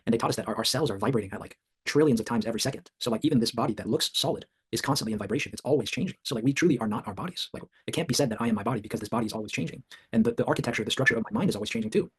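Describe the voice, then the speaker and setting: A man's voice: medium pitch, rapid-fire, slightly rough. A man born in China hosting a casual group workshop, enthusiastic and saying "like" a lot. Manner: enthusiastic, says "like" often. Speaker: workshop host.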